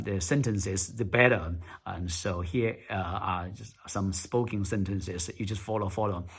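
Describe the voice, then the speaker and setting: A man coaching viewers on speaking English in a casual tutorial, talking haltingly with many fillers and words repeated for emphasis. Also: fairly low pitch, vocal fry, fairly bright tone.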